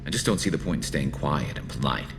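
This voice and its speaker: A man playing a loyal, battle-weary male alien soldier in a video game, with a dry, wry, measured delivery. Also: extremely low pitch, expressive. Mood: wry, relaxed.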